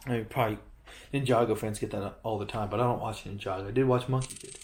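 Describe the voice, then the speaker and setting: A man's voice: fairly low pitch, steady tone, quick pace, a little mellow, slight background noise. Rambling man reviewing a toy set. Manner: rambling, self-correcting, joking. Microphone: handheld camera close-up of toy.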